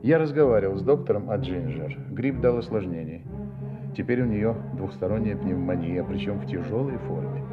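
An actor playing a courteous, methodical inspector in a Russian radio play, gravely mulling things over and repeating phrases. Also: male voice, fairly low pitch, animated, moderate pace, close to an everyday voice. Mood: grave, gentle. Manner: ponderous, repeating phrases as he thinks. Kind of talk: audio play dialogue. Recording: muffled, faint music behind.

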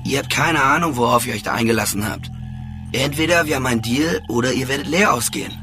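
A man's voice: mid-range voice, fast talker, natural voice. An actor playing a defiant male hacker bargaining with an agent in a radio drama. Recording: music under the voice.

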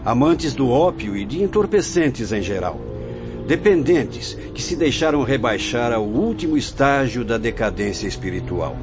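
A wise male spirit teacher voiced by an actor in a radio play, solemn and compassionate. He preaches formally in a mid-pitched voice. Music plays clearly behind the dull recording. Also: big pitch swings, natural voice. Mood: grave, instructing. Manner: preachy, formal, measured phrasing. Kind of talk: radio drama acting. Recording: dull lo-fi audio under music.

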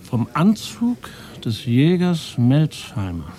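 An actor playing a sceptical radio journalist in a naturalistic radio drama, with probing, ironic, short questions. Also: male voice, a little high, huge pitch swings, takes it slowly, dark tone, slight background noise, exaggerated voice. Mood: mocking. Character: sceptical, sardonic male radio journalist investigating a story.

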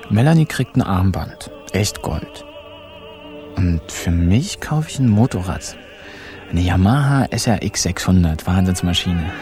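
Cheeky young male narrator for a radio crime comedy: deadpan, slangy, low-pitched, soft-edged, faint music behind. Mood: dreamy, pleased. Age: young adult. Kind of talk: first-person radio crime drama narration.

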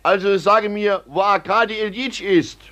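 A man's voice: high-pitched, normal speed, bright, forceful tone, dull audio. An actor playing a blunt, worried coachman to a count in a 1950s radio play. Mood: impatient.

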